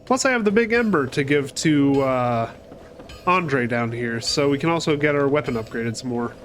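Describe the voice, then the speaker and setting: A man's voice: medium pitch, lively intonation, normal speed, a bit bright, slight background noise. A man with a cold giving casual, chatty commentary over his gameplay, swearing now and then and talking to enemies on screen. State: fighting a cold. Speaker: gaming channel host. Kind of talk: casual let's-play commentary.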